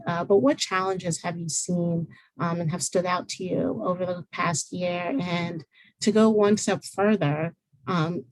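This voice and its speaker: A woman's voice: fairly low pitch, a little mellow, dry recording, poor audio. Woman moderator with a dry, close sound. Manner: friendly, many fillers and restarts. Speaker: panel moderator. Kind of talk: casual online panel hosting.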